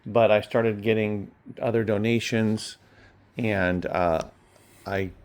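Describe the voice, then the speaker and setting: A man with a fairly low voice talks in a casual, unscripted way. He rambles through his thoughts as a small-time reseller, wandering into asides and letting sentences trail on. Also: steady tone, takes it slowly. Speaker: online reseller and bookstore owner. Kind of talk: casual chat.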